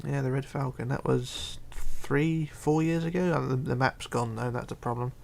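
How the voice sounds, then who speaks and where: A man hosting a casual livestream, reading chat aloud and chatting in a rambling, halting way. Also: medium pitch, gravelly. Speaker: Minecraft livestreamer.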